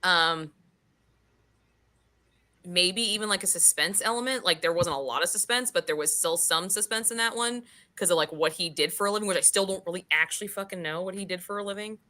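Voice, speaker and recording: Woman hosting book chat, bright punchy voice kinda low, frank n informal. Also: brisk. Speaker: book club host. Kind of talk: casual book discussion.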